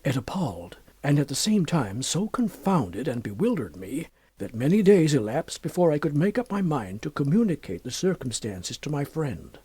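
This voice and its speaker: A male audiobook narrator with a husky, fairly high-set voice reads a classic horror tale. His formal, measured delivery swings widely in pitch as he shapes each deliberate phrase. The sound is dramatic and literary. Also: very animated, warm tone, no echo.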